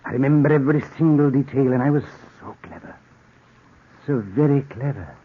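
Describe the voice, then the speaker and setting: An actor playing a cunning, deranged murderer, confessing in a theatrical, brooding, repetitive 1940s radio-drama style. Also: male voice, medium pitch, a little fast, close to an everyday voice. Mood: manic, agitated. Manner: theatrical, brooding, obsessively repeating himself. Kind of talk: 1940s radio acting, first-person narration. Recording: noisy muffled old radio recording.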